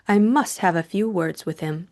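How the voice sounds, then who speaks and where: A woman's voice: lowish voice, normal speed, a little mellow, lightly acted. A woman voicing an imperious older aristocratic lady in an audiobook mystery, speaking in a lofty, commanding, emphatic way.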